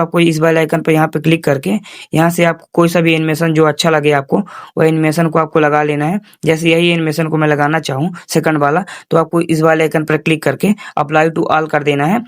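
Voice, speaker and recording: A man with a fairly high voice guides viewers through steps as a tutorial host. His delivery is informal but very even in tone, with repeated instructional phrases. The voice-over sounds close and dry. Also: dry recording. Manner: repetitive instructional phrasing, addresses viewers as friends. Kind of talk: informal step-by-step screen tutorial.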